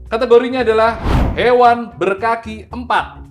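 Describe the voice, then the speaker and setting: An Indonesian man hosting a quiz game show in a lively presenter's style, over background music. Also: very high voice, normal speed.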